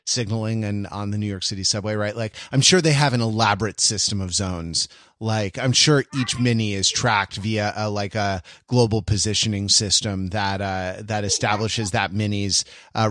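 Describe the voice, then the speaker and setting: A man's voice: lowish voice, a bit bright, no room sound, clean studio sound. A man hosting a casual podcast chat in a wry, digressive way. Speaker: podcast host.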